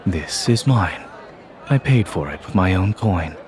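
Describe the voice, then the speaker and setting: An actor playing a calm, formal young general in a romance game, speaking in a measured, dryly teasing way. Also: male voice, low voice, crowd noise. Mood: composed, dry. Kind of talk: restrained romance game voice acting. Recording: screen-recorded game audio with faint noise.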